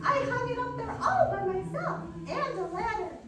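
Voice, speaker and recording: A woman playing a sweet, excited little girl in a live stage radio play. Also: very high voice, moderate pace. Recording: noisy, distant, echoey dance hall.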